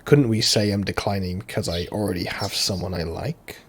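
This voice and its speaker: A man reading translated lines of a visual novel aloud over loud game music, mostly level but now and then breaking into big laughs. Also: low voice, a little fast, slight background noise. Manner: reads every character himself, mostly flat, bursts into loud laughter.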